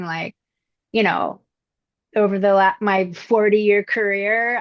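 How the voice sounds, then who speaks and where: A middle-aged woman whose voice still sounds youthful answers interview questions in a relaxed, reflective way, often pausing on fillers. The recording is dry and close, with a slightly dull, lo-fi tone. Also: mid-range voice, no room sound. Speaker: voice actress, interview guest. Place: indoors at her mother's home.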